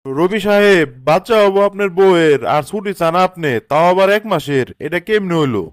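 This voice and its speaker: A man as a high-pitched, skeptical office boss. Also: a little fast, dry recording, clean studio sound, close to an everyday voice. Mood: incredulous, grudging. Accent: Bangladeshi colloquial. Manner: questioning, incredulous. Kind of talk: cartoon drama dialogue.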